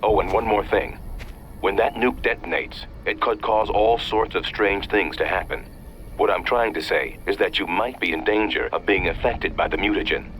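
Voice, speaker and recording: Deep, sharp radio handler. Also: male voice, moderate pace, slight background noise, poor audio. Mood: serious, briefing. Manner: urgent, matter-of-fact briefing. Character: serious male intelligence handler briefing over radio. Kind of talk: video game cutscene acting.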